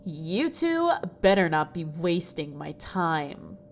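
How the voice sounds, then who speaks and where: Woman playing a slow, irritable school nurse. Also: sits low, big pitch swings, slight background noise, heavily acted. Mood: threatening. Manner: grumbling, impatient. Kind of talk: audio drama acting.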